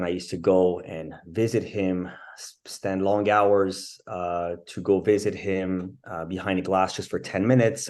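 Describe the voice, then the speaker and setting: A man in his forties from France, a guest telling his life story in a casual interview, pausing often with fillers. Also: low voice, darkish tone. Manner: thoughtful, many pauses and fillers. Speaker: interview guest, bench press champion.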